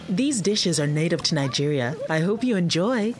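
A woman's voice: lowish voice, animated, moderate pace, close to an everyday voice. A woman playing a welcoming Nigerian mother in an audio drama, explaining things in a friendly way. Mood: welcoming, explanatory.

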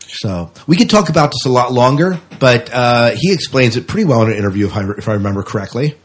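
A man's voice: medium pitch, animated, fast talker, husky. A man chatting casually as a podcast co-host and interviewer.

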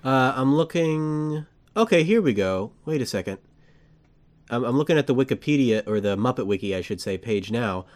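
A male podcast host talking casually in a mid-range voice. He rambles, corrects himself and gets excited. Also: medium pitch, normal speed.

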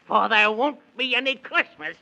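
A man's voice: very high voice, expressive, moderate pace, gravelly, bright, forceful tone, exaggerated voice. An actor voicing a wicked, gloating king who chants mocking rhymes in a 1930s children's radio serial. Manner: mocking, sing-song rhymes with cackles. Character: wicked gloating cartoonish king of a goblin realm. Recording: dull vintage 1930s radio recording.

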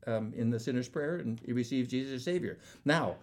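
A man's voice: medium pitch, brisk, a little mellow. Man telling casual testimony as a guest. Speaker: guest storyteller and evangelist.

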